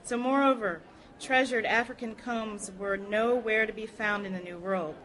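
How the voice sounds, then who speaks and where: A woman reading a nonfiction history text aloud in a measured, earnest, formal way. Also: medium pitch, steady tone, a bit bright, poor audio. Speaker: reader at a public library program.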